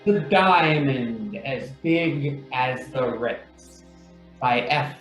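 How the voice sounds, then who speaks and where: A man announcing radio drama credits in a formal, deliberate, scripted manner. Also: medium pitch, expressive, fairly bright tone. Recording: roomy echo, faint music.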